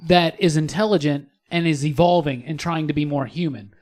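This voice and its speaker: A man's voice: fairly high pitch, fairly quick, darkish tone. A man co-hosting a podcast in casual pop culture chat, talking with a fan's enthusiasm and emphatic words. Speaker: podcast co-host.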